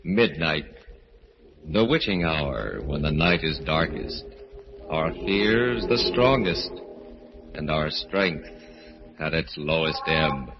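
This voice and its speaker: An actor playing an ominous radio horror announcer and narrator, grave and dramatic, in 1940s style. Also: male voice, rumbling low, expressive, slow, long pauses, exaggerated voice. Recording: muffled old radio recording, music behind.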